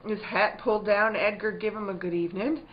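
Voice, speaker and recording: An actress playing a firm but troubled country wife in a spooky radio drama, speaking in plain rural dialect. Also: female voice, mid-range voice, fairly even intonation, brisk, lightly acted. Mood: hushed storytelling. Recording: dull, muffled lo-fi recording.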